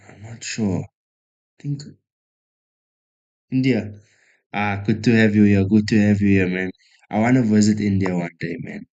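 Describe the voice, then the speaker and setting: A man from South Africa talks through his chess moves at a slow, unhurried pace, his voice sitting fairly low. He thinks aloud, makes fun of his own play and stops to greet people in the chat. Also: a little mellow, lo-fi sound. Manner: thinks aloud slowly, chatty with viewers, self-deprecating. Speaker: chess streamer. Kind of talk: casual live-stream commentary.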